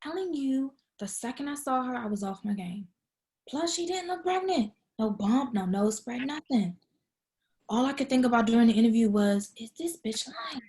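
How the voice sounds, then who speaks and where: A woman's voice: fairly high pitch, lively intonation, normal speed, a little mellow. A woman playing a frustrated, slangy young woman in a comedy script read. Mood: exasperated. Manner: slangy, exasperated. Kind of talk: comedy table read acting.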